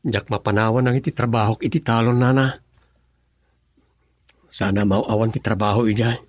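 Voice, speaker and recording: An actor playing an earnest, lovelorn young provincial farmer in an Ilocano radio drama, with an Ilocano accent. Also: male voice, on the low side, fairly even intonation, moderate pace, no room sound, natural voice. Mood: earnest, worried.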